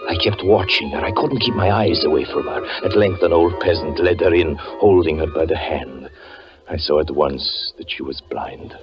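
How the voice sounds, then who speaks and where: An actor playing a haunted, doom-laden fortune teller, narrating gravely and haltingly in 1940s radio drama style. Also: male voice, deep voice, close to an everyday voice. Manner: grave, halting, melodramatic delivery. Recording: muffled 1940s radio recording with music.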